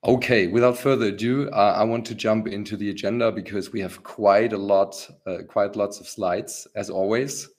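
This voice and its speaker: A hoarse man hosting a business webinar, talking with many pauses, ums and restarts. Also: on the low side, fairly even intonation. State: rough, hoarse voice. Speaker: webinar host, company founder.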